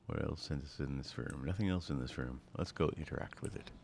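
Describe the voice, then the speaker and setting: A man's voice: a bass voice, a little fast, creaky, darkish tone, a little hiss or hum. A man giving casual walkthrough commentary on a video game, talking through each of his own actions. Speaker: gaming video host.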